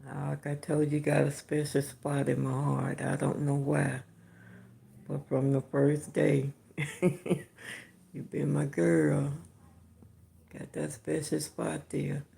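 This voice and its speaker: An older woman talks slowly and warmly to her livestream viewers, answering their comments from home in the evening. Her voice is heavily gravelly and mellow, with a distinctive sound of its own. She chuckles easily and keeps a relaxed, affectionate manner. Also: deep voice. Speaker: livestream host greeting viewers. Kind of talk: casual chat answering comments.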